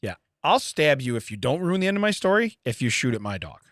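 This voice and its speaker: Guy cohosting a comedy podcast, really lively pitch, wry jokey asides, dry close sound. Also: fast, dry recording. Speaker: podcast co-host. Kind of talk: casual comedy chat, reading and riffing on a news story.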